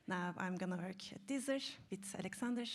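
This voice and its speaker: A woman from Turkey, introducing herself softly to new colleagues. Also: mid-range voice, brisk.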